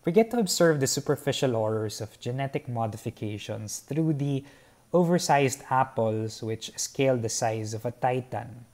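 A young man from the Philippines talks through his painting in a slow, earnest, formal way, his voice sitting at an ordinary middle pitch. He speaks from a fixed camera a short way off on an open garden patio. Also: darkish tone. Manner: measured, earnest, formal wording. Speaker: painter explaining his artwork. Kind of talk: reflective artist talk. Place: covered patio by a garden, outdoors.

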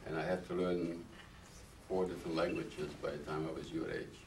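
Deep-voiced old man, slow halting speech. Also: flat delivery, a little mellow. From Carpathian Ruthenia, then Czechoslovakia. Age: older; 80s. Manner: slow and halting, many "uh" fillers. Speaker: interviewee, Holocaust survivor. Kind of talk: oral history interview. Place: small classroom, indoors. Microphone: far camera. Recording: roomy echo, distant mic, faint hiss.

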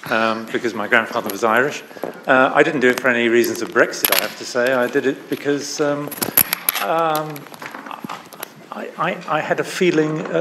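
An older man answering as a panelist, speaking haltingly with many fillers. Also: medium pitch, background noise. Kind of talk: panel discussion talk.

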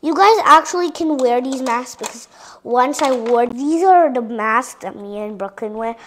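A female voice giving a chatty tour of a toy dollhouse playset, addressing viewers directly and sometimes dropping into soft whispers. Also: fairly high pitch, moderate pace, slight background noise. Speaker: toy reviewer.

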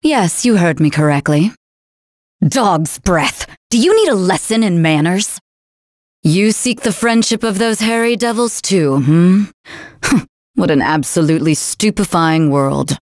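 A woman voicing a cruel, haughty female general in a video game, taunting with a theatrical, drawn-out delivery. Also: fairly low pitch, expressive, darkish tone, dry recording, studio quality. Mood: haughty, mocking. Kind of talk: video game character voice lines.

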